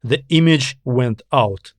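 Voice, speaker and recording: A man narrating a science fiction audiobook in a measured, deliberate way. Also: medium pitch, dry recording. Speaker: author narrating own story.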